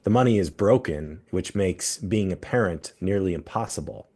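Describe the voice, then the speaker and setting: A man hosting a podcast, explaining his views at length in a measured, steady way. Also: low voice. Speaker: podcast host. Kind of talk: casual political discussion.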